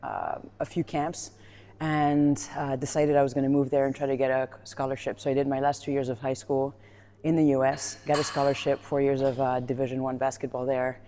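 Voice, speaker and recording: A woman's voice: very deep voice, fairly quick, slight background noise. A woman from Colombia, a former rugby player, chatting casually during a gym workout, telling stories with lots of laughter. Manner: animated storytelling, laughs often. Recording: echoey gym with long reverb.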